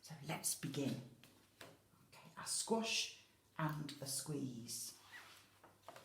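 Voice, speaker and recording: A woman's voice: extremely low pitch, slow pace, gravelly, slight background noise. A woman infant school teacher telling a story to young children, explaining simply and speaking to them directly. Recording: roomy, echoey sound.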